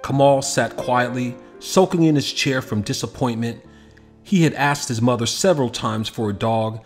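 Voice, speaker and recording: A man reading a children's picture book aloud as an expressive scripted narration, with music behind him. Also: mid-range voice. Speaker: storybook narrator. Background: background music.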